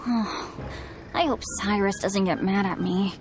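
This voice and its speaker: A woman's voice: medium pitch, fairly even intonation, takes it slowly, loud music behind the voice, close to an everyday voice. A woman voicing an eager, polite, hapless teenage boy adventurer in a video game, speaking earnestly. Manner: earnest, apologetic. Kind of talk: video game character acting.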